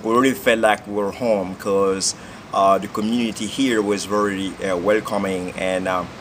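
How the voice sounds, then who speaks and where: Student in his twenties, low voice, halting and full of fillers, interviewed outdoors. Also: steady tone, background noise. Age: 20s. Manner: hesitant, many fillers. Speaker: exchange student. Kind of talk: casual interview answers. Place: outdoor courtyard by glass building.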